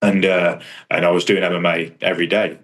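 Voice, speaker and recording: A man's voice: level tone, fairly quick, fairly bright tone. A man, chatting casually in a rambling, understated, self-deprecating way as a fighter and coach. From England. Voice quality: deep voice. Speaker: kickboxer and striking coach. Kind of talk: casual interview chat about own career.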